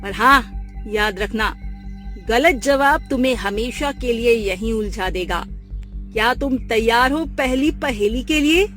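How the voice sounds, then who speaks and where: A woman plays an eerie, menacing female spirit who guards a forest in a cartoon tale. She speaks very slowly with theatrical command, over light music. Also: on the high side, a bit bright. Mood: challenging, enigmatic. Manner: ominous, commanding. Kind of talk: theatrical Hindi cartoon story dialogue.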